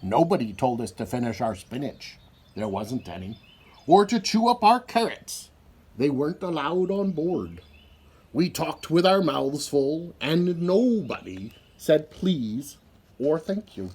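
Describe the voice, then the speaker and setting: Male children's story reader, husky voice, expressive and swooping, unhurried picture-book delivery. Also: on the high side.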